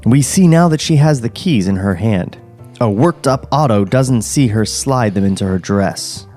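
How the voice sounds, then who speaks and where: A man's voice: medium pitch, lively intonation, normal speed, darkish tone, soft background music. A man reading screenplay stage directions and chatting casually as a podcast narrator. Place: podcast studio.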